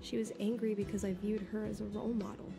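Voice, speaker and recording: Woman playing an earnest, rueful teenage girl, slightly creaky. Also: medium pitch, little pitch movement, fast, lightly acted. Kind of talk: video game voice acting. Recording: game dialogue under loud music.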